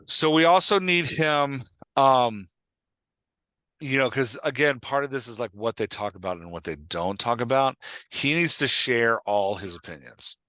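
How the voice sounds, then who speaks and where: A man's voice: mid-range voice, animated, unhurried, pushed and bright, no room sound. A man talking conversationally and emphatically as a host and coach, giving advice with acted-out examples. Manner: emphatic, acts out example phrases.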